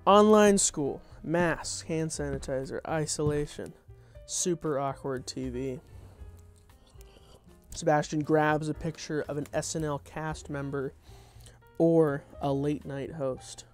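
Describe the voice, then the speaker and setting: A man's voice: a little high, fairly even intonation, slow, faint music behind. A young adult man doing an informal table read of his own comedy script, dropping in wry, off-the-cuff asides. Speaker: content creator reading own script.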